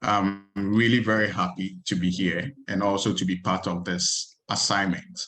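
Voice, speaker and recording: A man's voice: low-pitched, flat delivery, moderate pace, fairly bright tone. A man from Ghana who lectures in agricultural extension introduces himself on a video call in a plain, slightly formal way.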